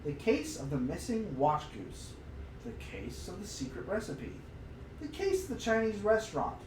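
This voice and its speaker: A man's voice: high-pitched, wildly swooping pitch, normal speed. A man co-hosting a podcast, chatting casually and reading aloud from a book, sometimes stumbling over the words. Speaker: podcast co-host. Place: untreated room, podcast recorded on a live stream. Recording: roomy, distant mic with noticeable noise.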